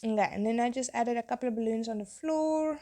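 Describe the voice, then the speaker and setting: A young woman, a tattoo artist, chatting in a rambling way while she draws, her voice hoarse from being sick. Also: on the high side, fast, warm tone. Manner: rambling, chatty, self-interrupting. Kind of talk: casual chat while drawing.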